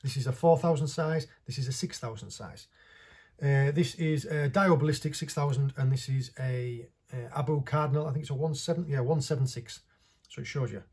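A middle-aged man with a slightly husky voice, giving an informal, off-the-cuff product review to camera in a small indoor room. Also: mid-range voice, fairly quick, a little mellow. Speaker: fishing vlogger reviewing tackle. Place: small indoor workshop with stone wall.

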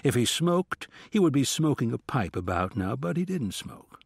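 Male fiction narrator, mid-pitched, very varied intonation, measured storytelling delivery. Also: very animated, vocal fry, slightly rough, no room sound, clean studio sound. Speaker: audiobook narrator. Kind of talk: scripted audiobook fiction narration.

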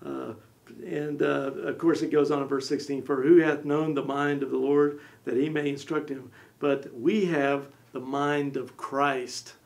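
Animated gravelly man discussing scripture. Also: a little high, measured pace. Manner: gestures a lot, acts out little voices. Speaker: co-host. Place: small studio set with armchairs.

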